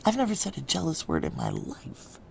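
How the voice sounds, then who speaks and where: A woman's voice: deep voice, wildly swooping pitch, a little fast, creaky, breathy edge, heavily acted. A woman playing a hard-bitten but lovesick ex-soldier in a noir audio drama, blunt and emotionally raw. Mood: distraught, confessional. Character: hard-bitten, lovesick female ex-soldier narrating her own heist.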